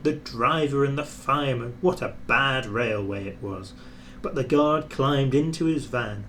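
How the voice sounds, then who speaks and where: A man narrating a children's storybook in an expressive scripted reading. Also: medium pitch, a little hiss or hum. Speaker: storybook reader.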